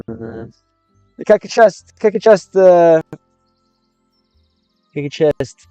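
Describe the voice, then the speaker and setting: A man's voice: a little high, sing-song, takes it slowly, mellow, dry recording, highs cut off, soft background music. A man livestreaming, chatting with viewers and translating game text as he learns a language, often restarting his sentences. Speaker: streamer learning Russian. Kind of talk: casual livestream chat with viewers.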